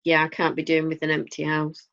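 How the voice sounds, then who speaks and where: A woman's voice: sits low, completely flat delivery, a little fast, fairly bright tone. Northern English woman co-host, easy rambling chat, dry wit, lo-fi dull sound. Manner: chatty, rambling, dry humor. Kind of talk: casual chat. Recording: dull lo-fi audio.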